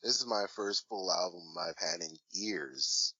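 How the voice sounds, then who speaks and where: A man's voice: low-pitched, unhurried, bright, forceful tone, breathy edge, no room sound. A man talking casually as an interview guest, rambling and hesitant with many fillers. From Washington State, USA. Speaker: guest, hip hop artist.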